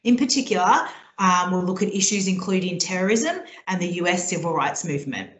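Aussie lady teacher, lowish voice, echoey room, steady explaining tone. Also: brisk. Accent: Australian. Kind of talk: recorded subject talk to students.

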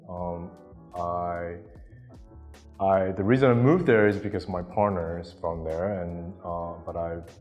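Man in his 30s from Taiwan, very low voice, halting interview answers on a lapel mic, a long reverb tail and faint music behind. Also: darkish tone. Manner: hesitant, many ums and restarts. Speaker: interviewee artist.

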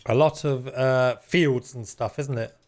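A man's voice: medium pitch, steady tone, unhurried, darkish tone. Chatty man streaming a game. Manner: chatty, swears casually, reads chat aloud. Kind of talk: casual live-stream chat.

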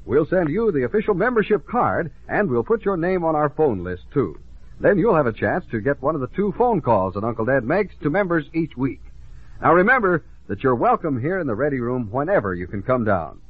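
A man's voice: a little high, big pitch swings, brisk, slightly rough, dry recording, phone-quality sound. An American man announcing on a 1950s children's radio show. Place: radio studio.

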